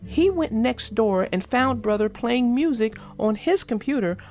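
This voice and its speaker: A woman reading a children's storybook aloud as narrator, in an expressive storytelling manner. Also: fairly high pitch, unhurried, soft background music. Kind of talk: scripted storybook read-aloud.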